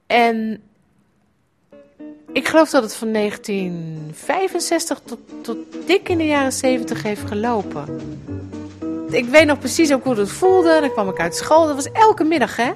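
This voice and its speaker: A middle-aged woman with a fairly high voice looks back in a personal radio essay. She tells her memory in a wry, reflective way. Soft music plays faintly behind her. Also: big pitch swings, normal speed. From Netherlands. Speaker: writer recounting a memory.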